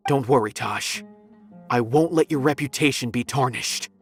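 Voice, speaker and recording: A man's voice: medium pitch, moderate pace, faint music behind, lightly acted. A man playing an earnest, loyal young male officer in a video game, speaking politely and emphatically, easily flustered.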